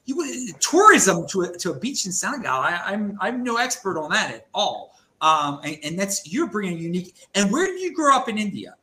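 A man hosting a casual panel chat, halting and full of restarts, calling guests "dude". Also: high-pitched, sing-song, sharp tone, echoey room. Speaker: podcast host.

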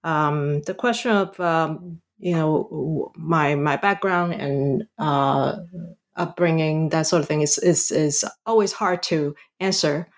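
A middle-aged woman from Hong Kong answers interview questions about her academic work. She speaks slowly in a low voice, pausing often with ums and restarting her sentences. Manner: halting, many ums and restarts. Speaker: guest author, historian.

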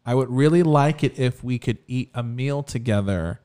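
A man talks bluntly and swears freely. He hands out big-brother advice in an even, mid-pitched voice. The setting is a loose, casual podcast chat. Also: moderate pace, smooth. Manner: blunt, profane, advice-giving. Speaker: podcast co-host.

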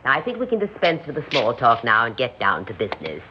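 An actress playing a commanding elderly hostess and conspirator in an old radio drama, speaking with deliberate, gracious authority. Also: female voice, rumbling low, wildly swooping pitch, exaggerated voice. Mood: brisk, commanding. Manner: imperious, deliberate, theatrically gracious. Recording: muffled, noisy old radio recording.

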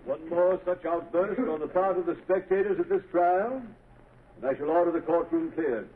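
A man playing a stern trial judge, formal and measured in 1930s radio style. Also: on the high side, slight background noise, close to an everyday voice. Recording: thin phone-like 1930s radio recording.

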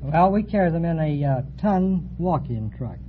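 Matter-of-fact American man, slightly high voice. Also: animated, moderate pace. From California. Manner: brief, matter-of-fact answers. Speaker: quiz show contestant, door-to-door salesman. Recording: tinny, faintly noisy old radio recording.

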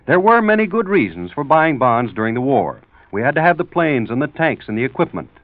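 A man's voice: mid-range voice, very animated, a little fast, no room sound. A male radio announcer reading a scripted public-service appeal in 1940s style. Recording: muffled dry vintage radio recording.

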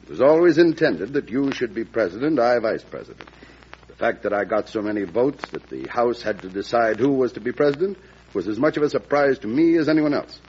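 An actor playing a proud, hot-headed, ambitious vice president in old-time radio drama, with formal, clipped, cutting politeness. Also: male voice, medium pitch, lively intonation, a little fast, darkish tone, close to an everyday voice. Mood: defiant, warning. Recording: dull, noisy vintage radio recording.

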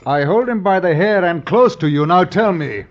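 An actor in a 1950s radio drama playing a stern, relentless officer of the crown who questions formally and deliberately. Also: male voice, high voice, lively intonation, noisy background. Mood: pressing. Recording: dull vintage radio recording.

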